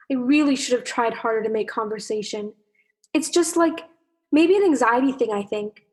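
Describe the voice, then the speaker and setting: A young woman playing a shy, anxious, lovelorn fish in a radio play, talking in a rambling, self-conscious way. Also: on the high side. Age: young adult.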